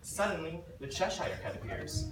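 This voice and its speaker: Lively lowish-voiced woman narrating. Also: normal speed. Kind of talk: scripted storybook narration in readers theater. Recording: distant, echoey, noisy room.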